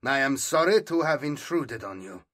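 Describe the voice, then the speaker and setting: A man's voice: mid-range voice, animated, normal speed, bright, forceful tone. A man voicing an earnest, sceptical, stubbornly loyal male scribe in an audiobook, terse and guarded. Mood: stiff, offended.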